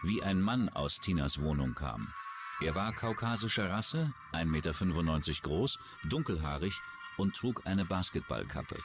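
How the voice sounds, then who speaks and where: Male narrator for true-crime documentary, low register, music underneath. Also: expressive, normal speed. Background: background music.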